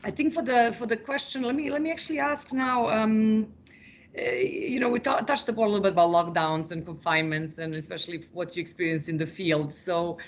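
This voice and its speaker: A man's voice: high-pitched, a little fast, dull audio, slight background noise. A man moderating a webinar panel, thoughtful, often repeating words while he searches for them.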